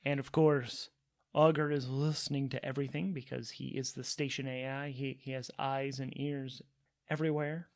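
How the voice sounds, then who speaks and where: A man narrating a solo tabletop role-playing game as game master, improvising haltingly and often repeating words. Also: mid-range voice, flat delivery, creaky.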